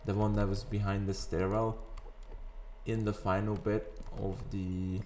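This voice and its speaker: A man with a raspy morning voice, chatting casually over gameplay and rambling into sidetracks. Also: on the low side, flat delivery, a little slow, a little mellow, slight background noise. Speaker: gaming video host. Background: faint background music.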